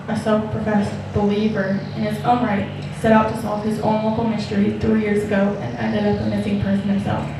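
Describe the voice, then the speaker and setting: A woman playing a flat, steady news reporter. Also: mid-range voice, natural voice. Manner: even, newsreader delivery. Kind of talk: scripted news report in a play. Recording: echoey theater stage from far off, noisy.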